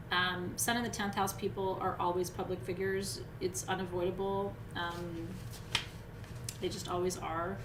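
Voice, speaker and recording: A woman teaching astrology to a live audience in a loose, chatty way, her voice hoarse and wearing out. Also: medium pitch. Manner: chatty, loose, many "um"s and restarts. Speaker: spiritual teacher reading astrology. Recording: roomy echo with steady background noise.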